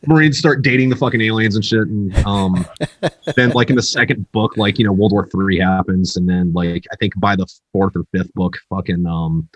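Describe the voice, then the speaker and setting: Deep-voiced, salty American man. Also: moderate pace, no room sound. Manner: salty, frequent profanity, slangy filler-heavy delivery. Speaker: author guest, military veteran. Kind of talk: casual podcast chat, rambling storytelling.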